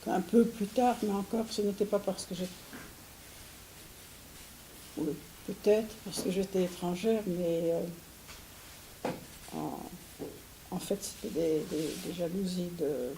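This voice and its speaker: Older woman from Syria, mellow and hesitant, faint hiss under the recording. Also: lowish voice, unhurried, poor audio. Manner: hesitant, with frequent restarts and soft laughs. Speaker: interviewee. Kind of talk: recorded interview.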